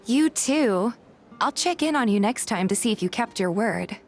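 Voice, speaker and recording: A woman's voice: on the high side, animated, normal speed, soft background music. A woman reading a scripted first-person story as narrator and voice actor in a fan dub.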